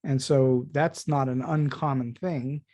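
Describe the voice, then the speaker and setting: A man hosting a conversational interview, repeating words haltingly as he thinks. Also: mid-range voice, steady tone, a little mellow. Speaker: podcast host.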